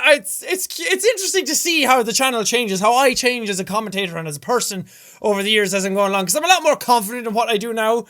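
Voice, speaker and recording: Irish male gaming commentator, very high and bright, excitable, loud outbursts, casual swearing. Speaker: gaming YouTuber.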